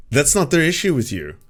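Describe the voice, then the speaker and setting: A man's voice: fairly high pitch, a bit bright. A man giving reaction commentary, talking bluntly and incredulously with plenty of swearing.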